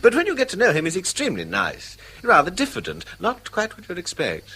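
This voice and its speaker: A man's voice: high-pitched, huge pitch swings, fairly quick, bright, forceful tone, slightly rough, heavily acted. A man playing an anxious, hesitant, apologetic husband eager to please in a radio drama. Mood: defensive. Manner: hesitant, repeats and breaks off sentences. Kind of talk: British stage-style radio drama acting.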